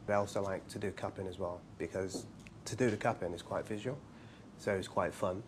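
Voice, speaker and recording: Low-voiced English man in his forties. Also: fairly quick, background noise. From England. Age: 40s. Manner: relaxed, explanatory, with light humor. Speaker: practitioner of Chinese medicine. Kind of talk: casual interview talk. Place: his clinic, indoors.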